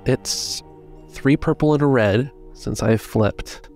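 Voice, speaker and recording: A man's voice: medium pitch, slow, creaky, darkish tone, faint music behind. A man acting as game master, narrating scenes and refereeing a tabletop role-playing game.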